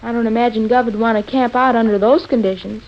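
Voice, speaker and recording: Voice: medium pitch, steady tone, fast, close to an everyday voice. A performer with an American accent playing an earnest, matter-of-fact boy in a 1940s radio comedy. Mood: earnest objecting. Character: earnest, literal-minded American boy, needling his father. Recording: muffled old radio recording.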